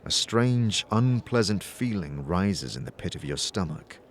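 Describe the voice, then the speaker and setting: A man's voice: sits low, expressive, unhurried, a little hiss or hum. A man narrating a scripted second-person story for an audio drama.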